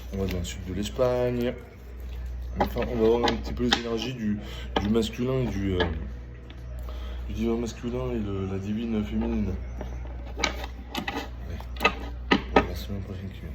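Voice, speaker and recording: Middle-aged male tarot reader, lowish voice, informal and stop-start delivery with repetitions, clear background noise. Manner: halting, repeats phrases, often checks in with listener. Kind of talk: casual spoken tarot reading with personal chat. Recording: clearly noisy ambient background.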